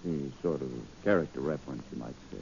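An actor playing a courteous, guarded politician with a polite, measured manner in a 1940s radio style, on a muffled, hissy old recording. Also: male voice, a bass voice, vocal fry, a little mellow. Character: smooth, courteous, guarded male politician with a secret.

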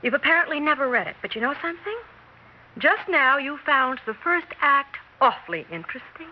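A woman's voice: high-pitched, very animated, brisk. An actress with an American accent playing a nervy, determined young woman playwright in a 1960s radio drama. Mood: confident, pointed. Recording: muffled 1960s radio recording, noticeable hiss.

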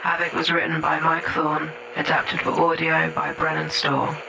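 A man with a gravelly voice reading scripted end credits as an announcer, sounding distant under music. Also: fairly high pitch, completely flat delivery, normal speed.

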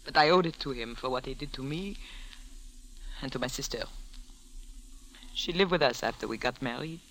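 An actress playing a resigned, vengeful young married woman in a 1940s radio drama, with formal phrasing and dramatic pauses. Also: very deep voice, a bit bright, exaggerated voice, poor audio. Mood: bitter, grieving. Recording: old radio recording, faint hiss.

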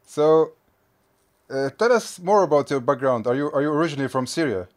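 A man hosting a casual interview podcast, friendly and quick to laugh, asking questions with small stumbles. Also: fairly high pitch, lively intonation. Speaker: podcast host.

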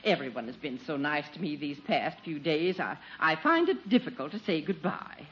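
An actress playing a prim, sentimental older maiden aunt with a proper manner, in 1940s radio comedy style. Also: female voice, sits low, lively intonation, moderate pace. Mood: tearfully sentimental. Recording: muffled 1940s radio with faint noise.

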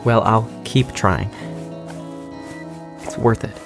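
An actor playing a loyal, caring and determined survivor in a post-apocalyptic audio drama, speaking earnestly and supportively. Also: male voice, lowish voice, slow pace, creaky, music in the background.

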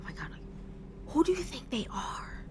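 An actress playing a quirky, paranoid, chatty young bus passenger in an audio drama, talking earnestly and matter-of-factly about odd things. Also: female voice, moderate pace, whispered, close to an everyday voice. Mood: wary, gossipy. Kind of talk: audio drama acting, conversational. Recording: faint background noise with rumble.

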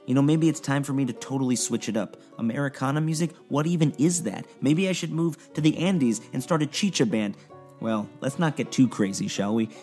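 A man's voice: mid-range voice, brisk, a little mellow. A man hosting a music podcast from his living room studio, musing reflectively before interviewing a band. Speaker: podcast host. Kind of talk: monologue, then casual interview.

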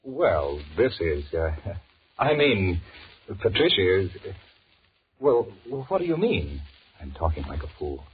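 Cowardly, blustering middle-aged executive, very low voice, anxious stammer and muttered thoughts, old-time radio horror, dull noisy recording. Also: male voice, very animated, takes it slowly, pauses often, full character voice. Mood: scared, flustered. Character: panicky married businessman, blustering and cowardly, secretly scheming. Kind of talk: 1940s radio horror acting with inner monologue.

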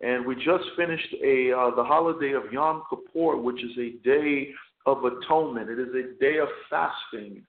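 Hoarse man, slow deliberate radio sermon, many fillers, tinny echoey phone audio. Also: medium pitch, a little hiss or hum. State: hoarse, losing his voice, clearing his throat. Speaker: chaplain and radio show host. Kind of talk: radio sermon with scripture reading.

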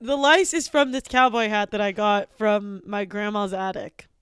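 A woman performing an improv comedy scene, loud, playful and exaggerated. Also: medium pitch, fairly even intonation, moderate pace, clear, resonant tone, no echo, clean studio sound.

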